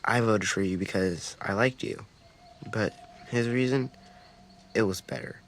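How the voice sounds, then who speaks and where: A man's voice: lowish voice, a little slow, fairly bright tone. A man playing an earnest, thoughtful young boy survivor in a video game, speaking hesitantly. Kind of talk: naturalistic video game voice acting. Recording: noisy outdoor ambience with crickets.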